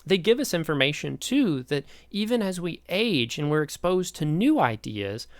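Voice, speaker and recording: A man's voice: on the high side, sing-song, normal speed, dry recording. A man in his thirties from East Tennessee with a Midwestern American accent, giving a chatty, enthusiastic sociology lecture full of questions to the listener. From East Tennessee, Appalachia. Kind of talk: recorded online lecture.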